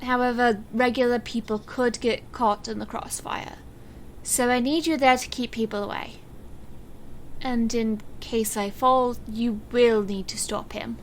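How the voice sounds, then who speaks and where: A woman playing a guarded, resolute stage magician in an audio drama, speaking in a grave, measured, firm way. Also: fairly high pitch, fairly even intonation, a little hiss or hum, natural voice, rough recording. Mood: firm, resolved. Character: resolute, guarded woman stage magician facing her murderous birth father.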